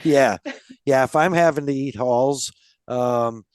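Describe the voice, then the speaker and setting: A male podcast host talks in a loose, rambling way and keeps circling back with "um" and "you know." His voice is smooth and resonant. The recording is close and dry, with no room sound. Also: medium pitch, takes it slowly, darkish tone, no echo. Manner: rambling, many fillers and restarts. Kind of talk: casual interview chat.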